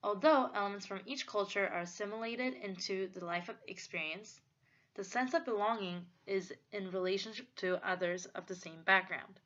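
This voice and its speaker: A woman's voice: mid-range voice, normal speed, a bit bright. A young woman from Korea, with Korean as her first language, reading a prepared personal essay in an earnest, reflective way. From South Korea. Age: young adult. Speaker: student presenter. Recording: dull lo-fi recording.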